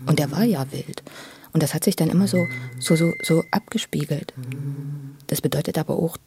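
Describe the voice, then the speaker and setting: A man, probably middle-aged, tells his own story in a husky voice, halting and repeating words with intensity. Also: fairly high pitch, background noise. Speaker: interviewee telling his life story. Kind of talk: spontaneous, emotional personal storytelling.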